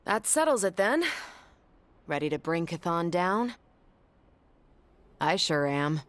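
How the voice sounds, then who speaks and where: A woman's voice: lowish voice, lively intonation, takes it slowly. A woman playing a confident, teasing, breezy superhero in a video game. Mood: upbeat, playful. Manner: wry, playful banter.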